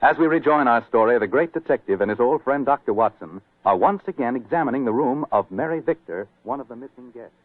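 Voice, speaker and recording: A man's voice: mid-range voice, expressive, fast talker, hardly any pauses, dry recording. A man announcing in 1940s radio style, with folksy, emphatic salesmanship. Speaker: announcer and sponsor pitchman. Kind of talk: 1940s radio advertising and narration. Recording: tinny, dry old radio recording.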